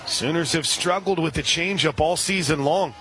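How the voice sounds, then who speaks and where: A man doing radio play-by-play for a college softball game, steady and informative, reading sponsor spots between pitches. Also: normal speed, noisy background.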